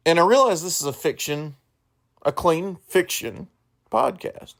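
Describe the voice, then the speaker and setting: Slow-talking male podcast host, slightly high voice. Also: animated, creaky, fairly bright tone. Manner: halting, self-correcting. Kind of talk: informal solo podcast talk with earnest preaching.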